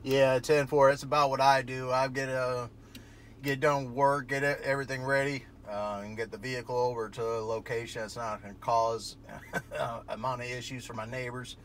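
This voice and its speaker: Male radio hobbyist, mid-pitched voice, casual rambling chat with operator jargon, clear background noise. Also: flat delivery, moderate pace, fairly bright tone. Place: vehicle parked at night. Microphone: cell phone held near the radio. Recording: cell phone video with clear background noise.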